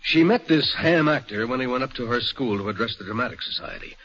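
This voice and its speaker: A man's voice: medium pitch, lively intonation, fast, fairly bright tone, close to an everyday voice. An actor playing a worried, indignant middle-aged father, formal and insistent, in 1940s radio drama style. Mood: troubled. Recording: muffled 1940s radio broadcast.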